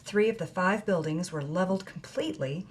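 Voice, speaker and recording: A woman's voice: on the low side, fairly quick, natural voice. Actress as an earnest woman interviewer, faint noise. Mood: matter-of-fact. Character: earnest, yearning female interviewer and admirer. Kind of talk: audio fiction dialogue and read-aloud.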